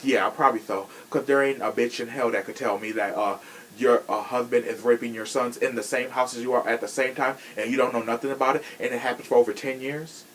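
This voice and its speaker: A man's voice: mid-range voice, fairly even intonation, brisk, fairly bright tone, a little hiss or hum. A man hosting a podcast in casual true crime chat, sassy and blunt, swearing freely. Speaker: podcast host.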